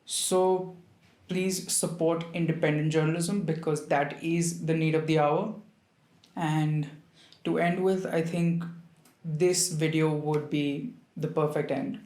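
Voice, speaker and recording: Indian-accented man, fairly high voice, earnest informal video commentator, recorded in an echoey room. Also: level tone, slow pace, darkish tone. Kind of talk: opinion monologue to viewers. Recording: roomy, echoing sound.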